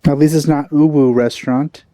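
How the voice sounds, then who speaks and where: A man's voice: fairly high pitch, fairly even intonation, moderate pace, warm tone, dry recording. A man giving casual gameplay commentary with dry, wry asides, close on the mic. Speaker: let's play gamer. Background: game music.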